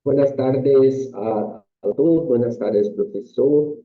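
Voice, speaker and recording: A Brazilian man, a Portuguese speaker, presenting in an online class, slow and apologetic, with fillers. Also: medium pitch. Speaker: course participant. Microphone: video call. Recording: dull video call audio.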